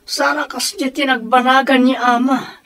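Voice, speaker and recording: A woman's voice: high-pitched, steady tone, normal speed, fairly bright tone. A woman acting in an Ilocano radio drama as an earnest grown child who pleads solemnly and emphatically for peace with warring parents. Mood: earnest, pleading.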